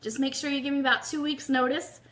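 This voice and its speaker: Bright, high-voiced woman hosting a live show. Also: fairly even intonation, quick pace. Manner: upbeat, informal, addresses viewers by name. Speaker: host of a live-streamed music fan radio show.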